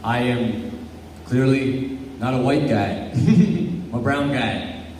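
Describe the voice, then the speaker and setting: A man from Guyana answering at a public debate, speaking in a personal, measured and earnest way. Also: medium pitch, a little mellow, slight background noise. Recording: echoey hall from far off.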